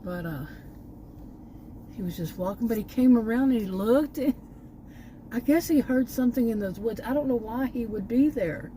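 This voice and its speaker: A woman's voice: on the high side, moderate pace, long pauses, mellow, slight background noise. A woman from Texas with a Texan accent, talking in a rambling, chatty way full of fillers and self-corrections as a channel host sitting outside near woods. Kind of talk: casual vlog monologue. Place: outdoors near woods and a park.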